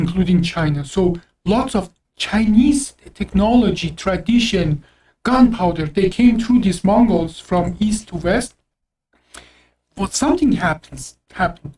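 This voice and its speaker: A man's voice: sits high, darkish tone. A man from Iran with an Azeri accent and Azeri Turkish as his first language, explaining history in a rambling, casual way with many restarts. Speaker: host. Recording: distant from the mic.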